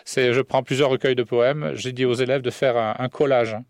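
A middle-aged man from southern France, a poet, talks on a radio show in an ordinary mid-range voice. He hesitates, restarts and laughs softly. The studio sound is dry and close. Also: mid-range voice, steady tone, normal speed, no room sound. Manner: hesitant, many fillers and restarts, soft laughs. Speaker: poet and French teacher. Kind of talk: radio interview. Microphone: studio mic.

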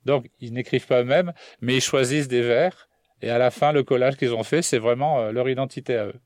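A middle-aged man from southern France, a poet, talks on a radio show in an ordinary mid-range voice. He hesitates, restarts and laughs softly. The studio sound is dry and close. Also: medium pitch, a little fast, dry recording. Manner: hesitant, many fillers and restarts, soft laughs. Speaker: poet and French teacher. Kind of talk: radio interview. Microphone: studio mic.

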